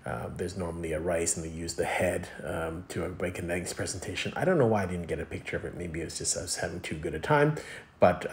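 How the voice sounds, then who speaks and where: Male food reviewer, low voice, casual and explanatory voice-over, somewhat hesitant and rambling. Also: a little hiss or hum.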